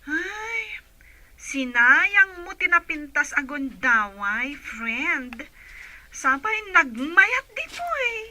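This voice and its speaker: A woman's voice: high-pitched, big pitch swings, extremely slow, pushed and bright, heavily acted, rough recording. A woman playing a supportive, chatty female friend in an audio drama, coaxing with a Filipino accent. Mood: curious, regretful.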